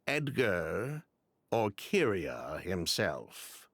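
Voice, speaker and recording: A man voicing a shifty, smooth-talking swindler in a video game, theatrical and evasive in manner. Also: low-pitched, huge pitch swings, very slow, fairly bright tone, no room sound, clean studio sound, full character voice. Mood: guarded, dismissive. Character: shifty male ex-convict fraudster, smooth-talking and self-justifying.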